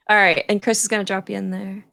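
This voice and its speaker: A woman's voice: mid-range voice, creaky, fairly bright tone, dry recording. A woman moderating a casual live-streamed conversation, passing questions along to guests. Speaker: host, moderator.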